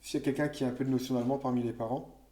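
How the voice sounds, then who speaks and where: A man with a mellow, relaxed voice of ordinary pitch explains grammar to young pupils. He speaks casually, musing aloud, with small restarts and self-corrections. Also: rapid-fire. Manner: conversational, thinks aloud with fillers and self-corrections. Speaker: teacher. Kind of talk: explaining French grammar to children.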